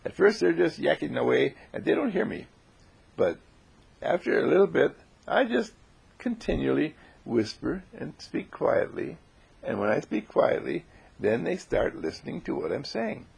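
A man playing an earnest, self-assured young fellow in a story, emphatic and knowing, moving between hushed and insistent. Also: on the high side, big pitch swings, normal speed, gravelly, husky, voice pushed up, exaggerated voice. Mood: smug, knowing.

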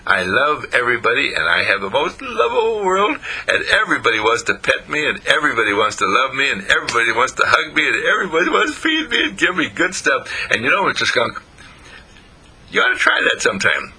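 A man voicing a friendly, trusting puppy dog in a told story, gentle, affectionate and earnest. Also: mid-range voice, sing-song, quick pace, husky, full character voice. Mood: loving, earnest.

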